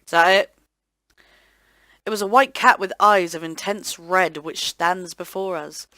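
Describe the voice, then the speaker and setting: A woman hosting a let's play, reading visual novel narration aloud in an expressive, theatrical way. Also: fairly low pitch, unhurried, no echo. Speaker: host of a gaming let's play channel.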